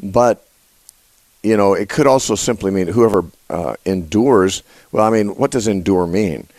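A man hosts a live call-in Bible program, his voice unusually deep today from congestion. He explains scripture in a halting way, with many fillers and restarts. The sound is close and dry, with no room echo. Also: a little mellow. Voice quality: usually a high nasal voice. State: congested, voice lower than usual. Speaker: radio show host.

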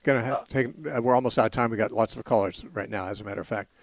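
A middle-aged man hosts a call-in radio show, speaking from a close studio mic with a dry sound. He talks in a thoughtful, searching way, often restarting his sentences. When time runs short he moves callers along briskly. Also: fairly low pitch, steady tone, creaky, no echo. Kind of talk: call-in radio Bible talk. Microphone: studio microphone.

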